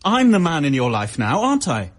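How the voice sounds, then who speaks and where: An actor playing a possessive, volatile young busker in a radio drama, insistent, needy and quick to anger. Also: male voice, a little high, wildly swooping pitch. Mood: jealous anger.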